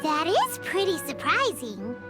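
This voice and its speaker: A woman voicing a small, cheerful, childlike fairy companion in a video game, squeaky and talking about herself in the third person. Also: wildly swooping pitch, unhurried, over loud music, heavily acted. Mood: puzzled. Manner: refers to self in third person. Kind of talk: animated video game acting.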